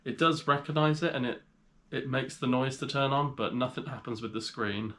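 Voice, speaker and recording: A man with a cold and a slightly nasal voice, chatting casually in a vlog as he shows items to the camera. He rambles in a relaxed way with frequent pauses. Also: medium pitch, slight background noise. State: has a cold. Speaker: reseller vlogger.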